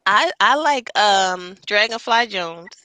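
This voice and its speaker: Bright-voiced laughing woman podcast host. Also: mid-range voice, slow, dry recording. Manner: laughing, slangy and animated. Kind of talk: casual podcast chat.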